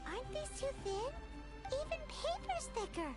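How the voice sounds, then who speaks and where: A very high, squeaky little fairy companion, exclamatory and blunt. The childlike game character swings widely in pitch as she scolds and questions, with prominent music underneath. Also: sing-song, normal speed. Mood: doubtful, curious. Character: tiny childlike floating fairy companion, excitable, scolding, money-minded. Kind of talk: animated video game voice acting.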